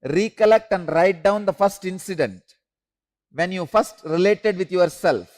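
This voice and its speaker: Spiritual teacher, male, high-pitched, slow repeated instructions, emphatic rises. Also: lively intonation, a little mellow. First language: Tamil.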